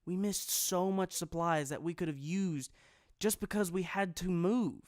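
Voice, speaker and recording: High-voiced earnest survivor, played by a man. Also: a little slow, a little mellow, no echo, studio quality. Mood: insistent. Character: scrawny man in a survivor group, earnest and persuasive. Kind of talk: audio drama dialogue.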